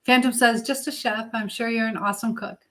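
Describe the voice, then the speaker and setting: A woman's voice: a little high, steady tone, a little fast, pushed and bright. A woman hosting a casual live chat, talking directly to viewers and reading out their comments in a conversational way. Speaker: livestream host. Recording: noticeable background noise with clicks.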